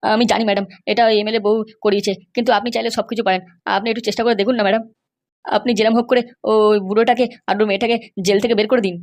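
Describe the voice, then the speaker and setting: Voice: medium pitch, little pitch movement, close to an everyday voice. A performer voicing an anxious young woman in a cartoon comedy, pleading and insistent. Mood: begging. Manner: pleading, insistent, halting starts. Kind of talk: amateur cartoon comedy dialogue.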